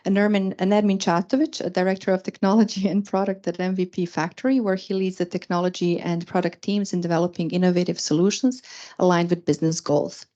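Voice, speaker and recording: A woman's voice: lowish voice, flat delivery, fast talker, warm tone, lo-fi sound. A woman moderating a conference panel, formally introducing the panelists and asking questions. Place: event venue.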